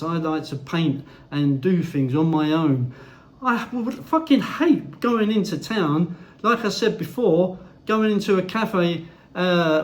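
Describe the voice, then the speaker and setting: An older man, ranting bluntly to camera in a casual vlog and swearing heavily. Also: high-pitched. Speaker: vlogger. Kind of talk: opinionated casual monologue.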